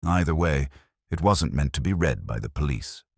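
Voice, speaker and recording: A man voicing a shrewd, unruffled police inspector in a mystery story, with a smooth, dry, measured manner. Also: a bass voice, expressive, a little mellow, no room sound, exaggerated voice. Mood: dryly speculative.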